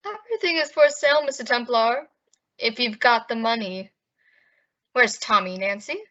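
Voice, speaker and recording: A polished, menacing mob boss voiced by a woman in an old-time radio play. The voice is bright and fairly high, formal and sardonic. The recording is muffled. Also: moderate pace, lightly acted. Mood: defiant, probing. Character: suave menacing male crime boss, foreign-born. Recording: dull, muffled lo-fi audio.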